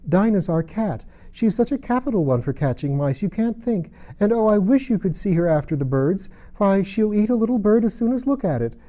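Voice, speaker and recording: A man's voice: sits high, expressive, brisk, few pauses, mellow, voice pushed up. A man voicing an earnest, polite, sensitive little girl in a storybook. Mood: eager.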